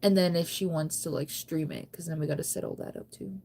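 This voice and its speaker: Low-voiced American woman chatting casually. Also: fairly even intonation, a little fast, gravelly, darkish tone, slightly rough. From United States. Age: 30s. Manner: rambling, self-teasing, giggly, conversational. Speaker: live streamer. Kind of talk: casual late-night livestream chat, reading and answering viewer comments.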